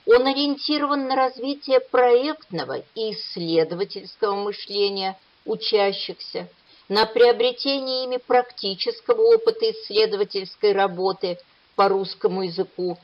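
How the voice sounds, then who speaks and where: A woman giving a measured, explanatory academic lecture at a conference. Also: mid-range voice. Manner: measured, explanatory, lively intonation. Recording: dull lo-fi recording.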